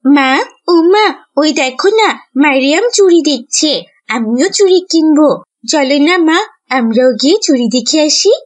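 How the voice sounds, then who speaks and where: Cartoon little girl, adult female performer, high-pitched, wheedling and headstrong. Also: animated, moderate pace. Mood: eager. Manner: whiny, insistent. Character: stubborn, pleading little village girl. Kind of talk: cartoon voice acting.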